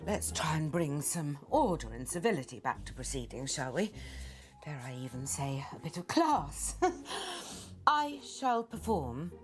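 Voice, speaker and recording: An actress playing a haughty middle-aged aunt in a film game, grand, precise and exasperated. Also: female voice, sits low, sing-song, measured pace. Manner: pompous, precise, theatrical.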